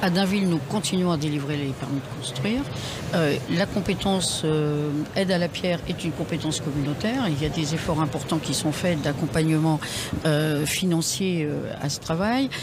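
A woman's voice: deep voice, moderate pace. Woman, mayor, hesitant, lots of ums, noisy trade fair hall. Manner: hesitant, many ums and restarts. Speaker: mayor, radio guest. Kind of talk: radio interview answers. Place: radio stand in a busy trade fair hall. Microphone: table radio mic with headphones.

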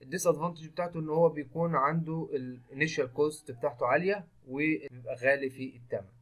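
A man's voice: a little high, fairly even intonation, fast, a bit bright. A man with an Egyptian Arabic accent giving a technical lecture over slides, explaining step by step and mixing in English technical terms. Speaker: engineering lecturer. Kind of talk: screen-recorded technical lecture.

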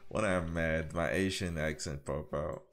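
A man's voice: very deep voice, fairly even intonation, measured pace. A man in his thirties from Haiti with a mixed Haitian, Caribbean and American accent, chatting casually and in a friendly way with viewers as he streams a game. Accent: Haitian with Caribbean and American influences. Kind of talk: casual livestream chat with viewers over gameplay.

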